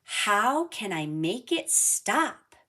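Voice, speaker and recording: Woman as an earnest, expressive little storybook cricket. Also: medium pitch, extremely slow, husky, pitched above the performer's own voice, exaggerated voice. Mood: distressed. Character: small, earnest, doubting young cricket with shifting shapes.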